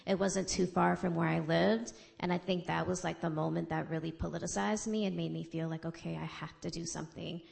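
Low, gravelly-voiced woman panelist. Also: steady tone, a little fast, few pauses, darkish tone. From the Bronx, New York. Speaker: panelist, community co-director. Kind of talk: panel talk.

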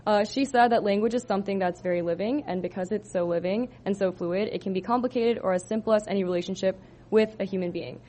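A young woman from Southern California presents her senior thesis to a room of listeners. She talks in a loose, casual way, filling pauses with ums and likes. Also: medium pitch, warm tone, slight background noise. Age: 20s. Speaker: student presenter. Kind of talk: thesis presentation to a live audience.

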